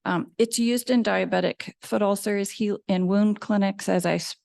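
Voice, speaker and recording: Measured woman lecturing, slightly gravelly. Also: mid-range voice, steady tone, a little mellow, no echo, clean studio sound. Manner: measured, explanatory, frequent ums. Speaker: dermatologist giving a lecture. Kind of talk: lecture presentation. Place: lecture hall with live audience.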